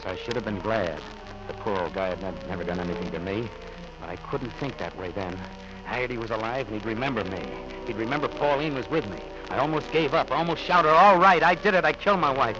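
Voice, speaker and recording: An actor playing a nervous, guilty husband who killed his wife, in a 1940s-style radio thriller with halting, stammering speech. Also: lowish voice, lightly acted. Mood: desperate. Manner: stammering, halting when questioned. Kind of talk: 1940s radio thriller acting, first-person narration and dialogue. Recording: dull vintage radio recording with music.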